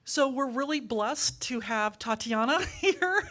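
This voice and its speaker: A woman's voice: sits high, animated, slow pace, fairly bright tone. An older woman leading a church town hall Q&A, chatty and upbeat, recorded from a distance in a sanctuary. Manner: chatty and upbeat, laughs often. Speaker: meeting leader at a congregation.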